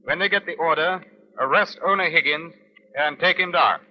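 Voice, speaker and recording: An actor playing a brisk, wry British police inspector in old radio crime drama, with clipped commands and dry understatement. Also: male voice, on the high side, close to an everyday voice. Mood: brisk, commanding. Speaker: lead actor and narrator. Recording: muffled old radio recording.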